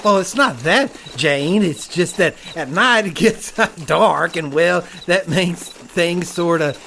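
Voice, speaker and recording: An actor playing a timid, bashful cowboy with a hesitant drawl in a western audio drama. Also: male voice, sits high, slow, vocal fry, pushed and bright, slight background noise, full character voice. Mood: nervous.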